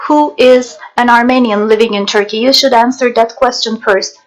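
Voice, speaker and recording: A woman narrator and interpreter reading scripted documentary voice-over in a measured, formal way. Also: on the high side, fairly even intonation, low-quality recording.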